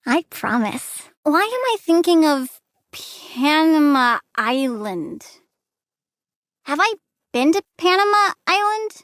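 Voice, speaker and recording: Very high-pitched bratty plant girl villain. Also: very animated, takes it slowly, fairly bright tone, no echo, clean studio sound, exaggerated voice. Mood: cheerfully menacing. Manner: petulant, childlike, blithely menacing. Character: bratty young girl plant-obsessed villain, perky and misanthropic. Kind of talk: video game character voice lines.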